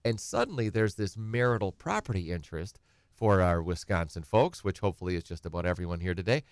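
A man talks casually in a fairly low voice. He rambles through examples and slips into clients' voices to ask their questions. The sound is dry and close. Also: big pitch swings, fairly quick, clear, resonant tone, no echo. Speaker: estate planning attorney guest. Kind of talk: conversational talk show explanation.

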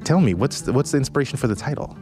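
A man interviewing a musician in relaxed, chatty conversation. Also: medium pitch, very fast, faint music behind. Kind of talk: casual interview.

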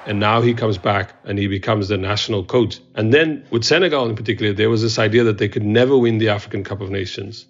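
A man's voice: fairly low pitch, fast talker, hardly any pauses. A man giving conversational, explanatory analysis as an expert in an interview. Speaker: expert commentator. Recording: dull, lo-fi interview audio.

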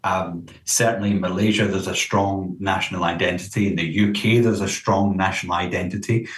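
A man's voice: low voice, fairly even intonation, fairly quick. A man speaking as a counsellor being interviewed, explaining in a conversational way and often checking in with the listener. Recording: roomy echo, distant from mic.

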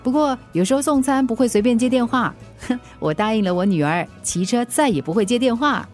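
A woman's voice: a little high, animated, a little fast, faint music behind, natural voice. A woman acting a cheerful, helpful food delivery rider in a radio drama, chatty, with a Singaporean accent and English words mixed in. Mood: breezy, brisk.

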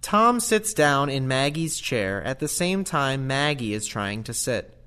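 A man's voice: mid-range voice, very animated, unhurried, bright, forceful tone, smooth. A man narrating scripted stage directions for an audio play.

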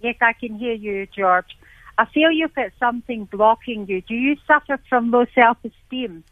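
A woman's voice: fairly high pitch, normal speed, no room sound. Female psychic medium, motherly phone reader, tinny dry line. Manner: motherly, calls callers dear. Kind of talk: call-in reading over the phone.

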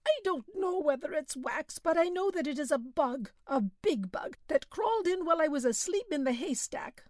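A man's voice: very high voice, animated, normal speed, no echo, studio quality, voice pushed up. A woman reading a panicky peasant man who moans that a bug is in his ear, in a pleading voice. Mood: pained, frantic. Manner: pleading, moaning.

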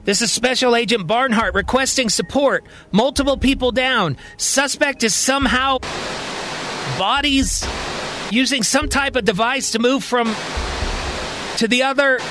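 A man's voice: extremely high pitch, a little slow, background noise, pitched above the performer's own voice. A man voicing a frantic male special agent urgently radioing for backup in clipped phrases.

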